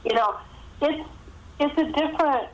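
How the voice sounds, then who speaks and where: A woman's voice: high-pitched, normal speed. A woman speaking as a guest author, giving conversational interview answers over a thin phone connection. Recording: thin phone-line sound.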